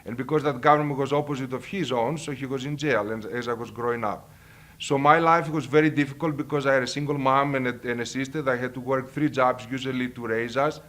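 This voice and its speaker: Man, Greek accent, middle-aged professor, earnest life story, halting repeats. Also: medium pitch, fairly even intonation, a bit bright. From Greece. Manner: earnest, with repetitions and hesitations. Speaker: marketing professor. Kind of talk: personal life story and advice to camera.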